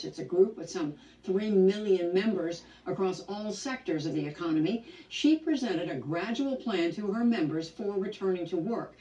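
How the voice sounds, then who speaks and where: A woman interviewing guests on a broadcast news program in a measured, formal manner. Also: medium pitch, warm tone. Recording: dull roomy audio replayed from far off.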